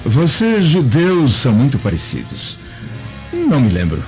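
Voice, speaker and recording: A man's voice: mid-range voice, wildly swooping pitch, a little slow, a little mellow. A man playing a haughty, suspicious Roman senator in a radio drama, speaking curtly and imperiously. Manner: imperious, curt, threatening. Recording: dull lo-fi audio with music.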